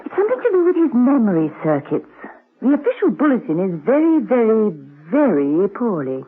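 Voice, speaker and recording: An actress playing a cool, dryly witty woman secret agent in a radio drama, clipped and deadpan. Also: on the high side, fairly quick, breathy edge, full character voice. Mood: dry, wry. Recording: muffled vintage radio recording.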